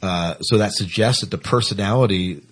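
A man's voice: lowish voice, moderate pace, fairly bright tone, breathy edge. A man hosting a casual podcast discussion about science fiction television. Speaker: podcast host.

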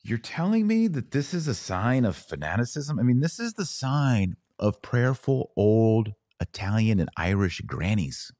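Male podcast host, very low voice, dry close sound, earnest and conversational, turns indignant. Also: big pitch swings, measured pace, hardly any pauses, mellow, dry recording. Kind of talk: livestream podcast reading viewer comments.

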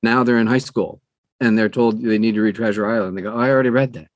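An older man who narrates audiobooks, talking conversationally in an interview with measured, emphatic phrasing and deliberate pauses. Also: lowish voice, fairly even intonation, dry recording, highs cut off.

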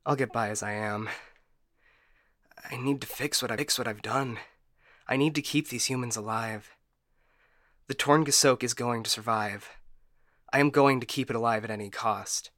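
A man's voice: mid-range voice, quick pace, few pauses, fairly bright tone, no echo, clean studio sound, natural voice. A man playing a broken, paranoid ship AI in a science fiction audio drama, stammering and getting stuck repeating words. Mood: resolute. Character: frightened, broken male ship AI, paranoid and unstable.

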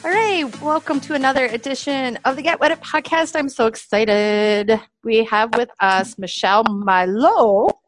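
A woman's voice: on the high side, moderate pace, faint music behind, rough recording. A woman hosting a podcast, interviewing in a casual, gushing and informal way.

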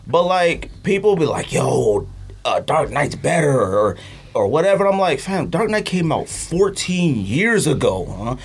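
A man's voice: on the high side, big pitch swings, unhurried, breathy edge. A man chatting casually as a podcast host, emphatic and opinionated, with slangy phrasing and repeats for emphasis. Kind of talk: casual podcast banter about movies.

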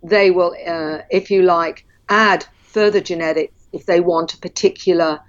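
A woman guest in an interview who explains at length in a measured way, with frequent ums. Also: lowish voice, steady tone, lo-fi sound.

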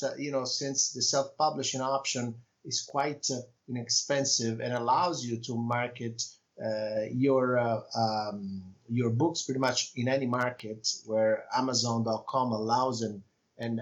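Italian-accented man, reflective and measured. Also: mid-range voice, highs cut off. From Italy. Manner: measured, reflective, often pauses with fillers. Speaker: author, podcast guest. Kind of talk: conversational interview.

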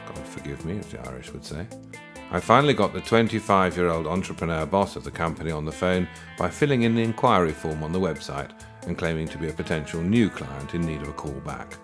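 Very deep-voiced man narrating. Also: fairly quick. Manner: dry, wry storytelling. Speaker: writer reading his own story. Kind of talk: scripted first-person narration. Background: guitar music.

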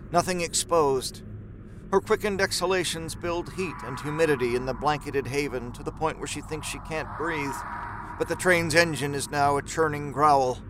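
A man narrating a horror story in a measured, suspenseful, dramatic storytelling style. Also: a little high, background noise, lightly acted.

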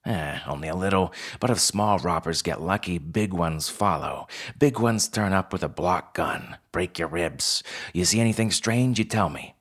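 A man's voice: sits low, normal speed. A man voicing a bossy female fox store manager in a story, with a barking, clipped, blunt way of talking. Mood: blunt warning.

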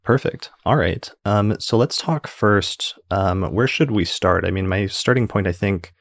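A man's voice: low-pitched, a little slow, vocal fry, no echo, clean studio sound. A man hosting a podcast interview, friendly and curious, with frequent fillers as he asks questions. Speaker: podcast host. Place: in person, same room as guest.

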